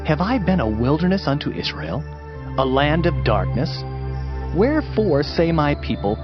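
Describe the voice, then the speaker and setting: Solemn man dramatically reading scripture, widely varied pitch. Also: big pitch swings, moderate pace. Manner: solemn, declamatory. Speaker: narrator. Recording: dull band-limited audio, music behind. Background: music.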